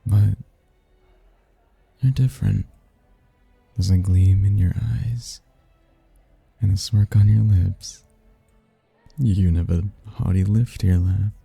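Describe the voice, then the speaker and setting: A suave demon charmer, acted by a man, with a very dark, mellow low voice full of heavy gravel. He talks slowly and teasingly in an intimate ASMR roleplay, flirting smoothly over soft music. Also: fairly even intonation, no echo, exaggerated voice. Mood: flirtatious, charming. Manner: smooth-talking, teasing, slow and seductive. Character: suave, flirtatious male demon at a masquerade ball.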